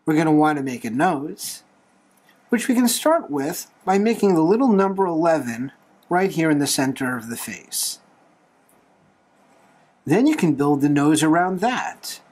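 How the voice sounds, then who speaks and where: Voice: medium pitch, animated, unhurried, pauses often, a little mellow. A tutorial host talking through a drawing step by step in a playful, encouraging manner. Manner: playful, light humour, encouraging.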